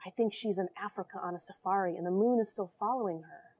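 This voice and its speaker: A woman in her forties reading and explaining a story to children in an animated, questioning way from a small airlock. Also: mid-range voice, quick pace, a little hiss or hum. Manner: animated, expressive, addresses young listeners with questions. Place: small cramped metal space station airlock.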